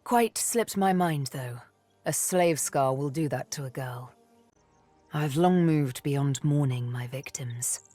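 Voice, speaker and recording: A woman's voice: deep voice, slow, dark tone, no room sound, soft background music. A woman playing a cool, sardonic, vengeful elf assassin in a fantasy game, poised, wry and intimate. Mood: wry, relieved. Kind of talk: fantasy video game acting.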